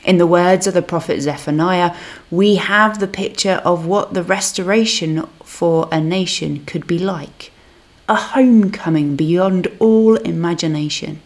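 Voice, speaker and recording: A woman minister reading a scripted devotional reflection in a measured, solemn manner. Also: lowish voice.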